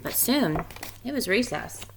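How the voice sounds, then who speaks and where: A woman reading a children's picture book aloud in an expressive storytelling style. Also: mid-range voice, unhurried, slight background noise. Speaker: story reader.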